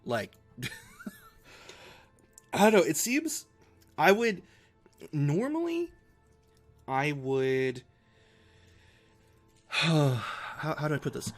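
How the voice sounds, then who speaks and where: A man hosting a book reading in casual, slangy discussion, halting as he thinks aloud. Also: mid-range voice, expressive, faint music behind. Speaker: host of live classic novel readings.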